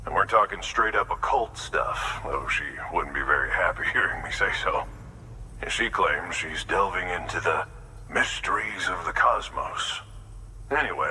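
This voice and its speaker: An actor playing a wry mountaineer in a game, conversational, leaning slowly on key words. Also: male voice, on the low side, pushed and bright, slight background noise, heavily acted, low-quality recording. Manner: wry, conversational, drawn-out emphasis. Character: gruff, wry mountaineer man asking a favour. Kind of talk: video game dialogue.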